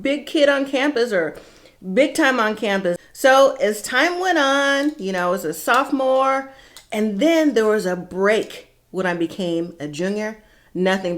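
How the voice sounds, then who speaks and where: A middle-aged woman with an American accent, telling personal stories casually to viewers in an animated, emphatic way, with rhetorical questions and acted-out dialogue. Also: medium pitch. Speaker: vlog host.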